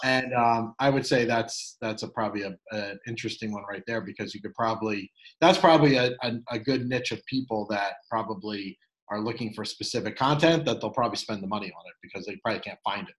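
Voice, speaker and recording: A man's voice: on the low side, rough recording. Rambling man hosting a podcast. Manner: rambling, halting, full of fillers. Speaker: podcast host. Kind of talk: casual advice chat.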